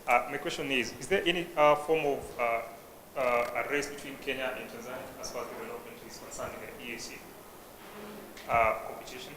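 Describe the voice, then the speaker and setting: A man's voice: mid-range voice, steady tone, fairly quick, noisy background. Hesitant man in a roomy hall. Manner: hesitant, many "uh" fillers. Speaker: audience member asking questions. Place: conference hall. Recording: roomy hall with long reverb.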